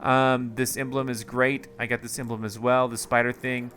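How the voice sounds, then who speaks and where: Guy with a bright tired voice rambling over gameplay, lots of ums and uhs. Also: mid-range voice, little pitch movement, normal speed, soft background music. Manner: rambling, thinking aloud with many fillers. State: strained, tired voice. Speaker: gaming video host. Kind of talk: casual gameplay commentary.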